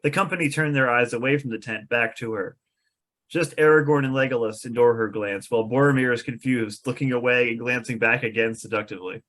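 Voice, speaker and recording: A man's voice: medium pitch, brisk, a bit bright. A man reading out the stage directions of a fantasy script as narrator at a table read.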